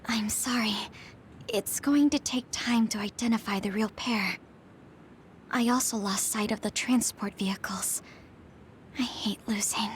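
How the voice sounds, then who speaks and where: An actress playing a soft-spoken, hesitant young psychic scout in an anime-style video game. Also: female voice, medium pitch, measured pace, slight background noise, natural voice. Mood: apologetic, frustrated.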